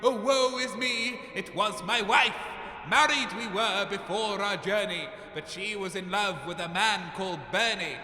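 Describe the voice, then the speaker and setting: Man as vain showman medium, bright forceful tone, theatrical, self-important, comic audio drama, roomy hall reverb. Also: high voice, measured pace, exaggerated voice. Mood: mock-mournful. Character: scheming, vain showman medium staging fake hauntings.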